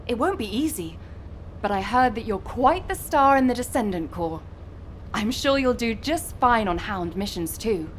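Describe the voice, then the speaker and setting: A woman voicing a cheerful, talkative young female soldier in a video game, teasing and expressive. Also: on the high side, normal speed, a little hiss or hum, natural voice. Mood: friendly, encouraging. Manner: chatty, playfully exasperated.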